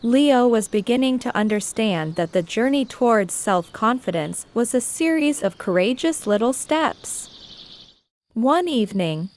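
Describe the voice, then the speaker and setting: High-voiced woman narrating a children's story. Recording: faint insects and birdsong behind. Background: crickets, birds, nature ambience.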